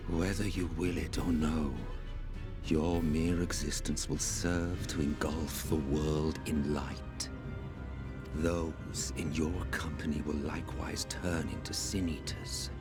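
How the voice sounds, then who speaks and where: A man playing an arrogant, theatrical video game villain, weary and menacing, speaking in a formal, grandiose, drawn-out way. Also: a bass voice, little pitch movement. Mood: ominous menace. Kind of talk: dramatic video game voice acting. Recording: loud game music under the voice. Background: orchestral game music.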